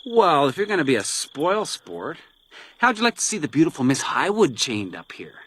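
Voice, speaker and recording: A man's voice: fairly high pitch, wildly swooping pitch, moderate pace, fairly bright tone, exaggerated voice. An actor playing a sadistic, theatrically playful male villain in a radio crime drama. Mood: mocking menace. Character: sadistic, taunting male villain who plays deadly games.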